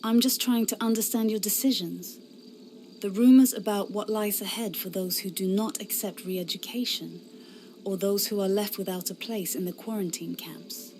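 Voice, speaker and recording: A woman acts an idealistic young female knight of a fantasy game, speaking with earnest, impassioned conviction at a middle pitch. A faint layer of noise sits behind the voice. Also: steady tone, moderate pace, a little mellow, natural voice. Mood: protesting. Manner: impassioned, defiant. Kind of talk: fantasy game cutscene acting.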